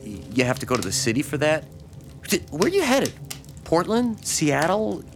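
A man's voice: on the high side, wildly swooping pitch, moderate pace, faint music behind. A man playing a lonely, starstruck small-town fan in an audio drama, speaking hesitantly and deferentially. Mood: eager, curious. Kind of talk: naturalistic audio drama dialogue.

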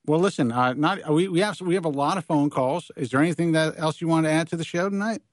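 A man's voice: a little high, fast talker, no room sound, clean studio sound. A middle-aged man co-hosting a talk show with casual, joking banter.

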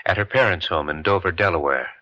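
Deep-voiced, reluctant male witness. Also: pushed and bright. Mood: reluctant, restrained. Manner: halting, terse, formal witness answers. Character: reluctant male war correspondent testifying about his affair. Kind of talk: old-time radio drama acting. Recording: dull lo-fi vintage radio.

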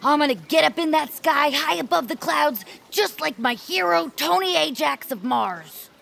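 A woman's voice: sits high, animated, normal speed, gravelly, a bit bright, a little hiss or hum, exaggerated voice. A woman playing a plucky, dreamy young female bird inventor in a family audio drama. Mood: eager, insistent. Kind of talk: family audio fiction acting.

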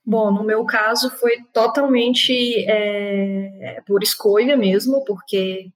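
A woman's voice: mid-range voice, fairly even intonation, slow. A woman from Goiás, a novelist guest in an interview, speaking earnestly and emphatically. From Goiás, Brazil. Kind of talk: casual panel interview.

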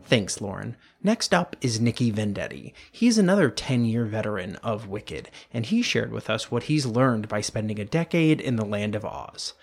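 Gravelly, husky man hosting. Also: fairly low pitch, lively intonation, normal speed, few pauses. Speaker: podcast host. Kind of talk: scripted introduction.

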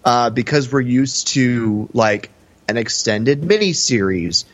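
A man's voice: mid-range voice, animated, takes it slowly. Man chatting on a slightly dull podcast recording. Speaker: podcast host. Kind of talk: casual film discussion. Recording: slightly dull, band-limited podcast audio.

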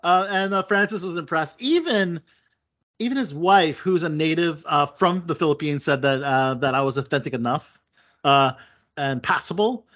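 A man's voice: fairly high pitch, moderate pace, a bit bright. A man hosting a casual chat show, talking at length with many fillers and restarts. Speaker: podcast host. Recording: dull lo-fi sound.

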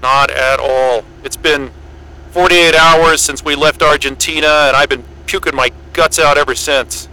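A man's voice: on the high side, steady tone, measured pace, bright, forceful tone, poor audio. An actor with an American accent playing an affable, candid male scientist new to Antarctica in an audio drama. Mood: friendly, weary. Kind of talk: naturalistic audio drama acting.

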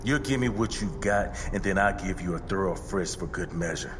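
A man acts out a gruff henchman in an audio drama, terse and faintly mocking. The voice is deep and unhurried. It echoes in a reverberant space with clear background noise. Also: lightly acted. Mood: curt. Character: gruff, menacing male henchman of a rich family.

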